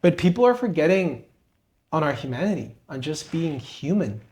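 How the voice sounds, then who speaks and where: A man's voice: a little high, sing-song, normal speed, darkish tone. A young man from Peru, co-host of a podcast, telling his story and giving advice in an earnest, motivational way. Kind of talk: conversational interview.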